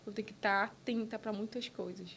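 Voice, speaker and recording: Woman from Brazil, podcast guest and entrepreneur; earnest, halting delivery, mid-pitched, faint rumble behind. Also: fairly even intonation, a bit bright. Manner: earnest, talks in short bursts with restarts. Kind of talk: casual interview conversation.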